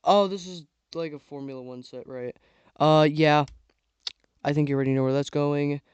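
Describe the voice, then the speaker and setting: A young man giving casual tier-list commentary on toy car sets, rambling with lots of fillers and a voice he says is going hoarse. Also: on the high side, fairly even intonation, normal speed, dry recording. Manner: rambling, filler-heavy, trailing off. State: losing his voice. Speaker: YouTuber ranking toy sets.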